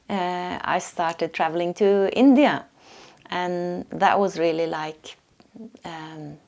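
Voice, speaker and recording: A middle-aged woman from Norway with a Norwegian accent, a yoga teacher speaking softly and thoughtfully in a portrait interview, pausing to find her words. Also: lowish voice, vocal fry. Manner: soft-spoken, thoughtful, pauses to search for words. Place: yoga retreat.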